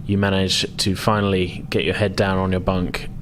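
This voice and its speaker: A man narrating a tabletop game as game master, with measured, descriptive storytelling. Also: deep voice, little pitch movement, fairly bright tone, slight background noise.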